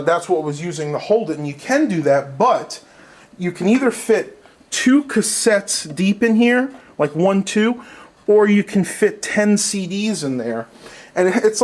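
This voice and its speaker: A man chatting casually and unscripted to viewers, thinking aloud in colloquial language as he shows things at home. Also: fairly high pitch. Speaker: vlogger showing a haul of vintage cassette tapes. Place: indoors at home.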